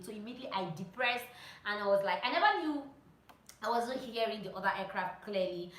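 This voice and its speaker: A woman giving casual, animated instructional vlog talk, telling anecdotes and repeating phrases for emphasis. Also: mid-range voice, a little hiss or hum. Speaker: vlogger and pilot sharing training tips. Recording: roomy echo.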